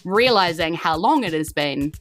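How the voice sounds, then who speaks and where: Casting: female game master, New Zealand accent, slightly low voice, informal improvised storytelling over music. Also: animated, normal speed, fairly bright tone. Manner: informal, hesitant, loosely descriptive. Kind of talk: improvised tabletop game narration.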